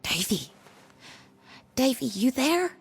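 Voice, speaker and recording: High, creaky big sister. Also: female voice, very animated, takes it slowly, exaggerated voice. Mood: anxious calling. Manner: folksy, unschooled, tender speech. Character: humble, protective big sister, plain-spoken and grateful. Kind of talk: emotional video game dialogue.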